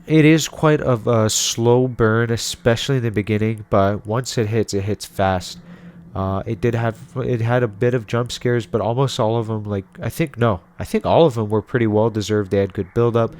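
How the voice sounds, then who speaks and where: A man's voice: fairly low pitch, moderate pace, a little mellow, a little hiss or hum. A man giving casual video commentary and recommendations in an informal, rambling, conversational way. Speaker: video host and reviewer.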